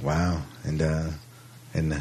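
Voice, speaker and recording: Low husky male podcast host. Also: level tone, takes it slowly, darkish tone. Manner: rambling, many restarts, laughs often. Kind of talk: casual interview chat.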